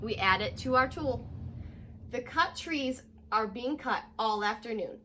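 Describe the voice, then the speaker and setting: Animated young American woman reading aloud. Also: on the high side, measured pace, a little hiss or hum. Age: young adult. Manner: animated, addresses young listeners with questions. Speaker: teacher reading a picture book aloud. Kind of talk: children's read-aloud with short asides. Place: living room at home, indoors.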